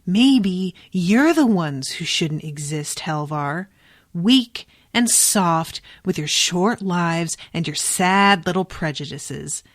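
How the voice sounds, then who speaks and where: A woman's voice: medium pitch, expressive, normal speed, a bit bright, close to an everyday voice. Defiant young magic-user from a novel, read by a woman: accusatory, impassioned, scornful. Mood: scornful rage. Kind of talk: audiobook-style novel reading.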